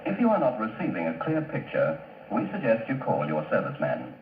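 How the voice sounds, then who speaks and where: A man's voice: fairly low pitch, wildly swooping pitch, brisk. A man reading a formal, instructive test announcement as a television station announcer, on an old archive broadcast recording. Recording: echoey, tinny, slightly noisy archive broadcast.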